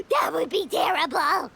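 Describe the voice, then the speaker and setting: A tiny excitable fairy sidekick from a video game. The voice is extremely high, childlike and bright, bouncing around in pitch. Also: female voice, full character voice. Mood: alarmed. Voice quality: squeaky, childlike. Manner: talks of self in third person, excitable. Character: small chirpy floating fairy companion girl.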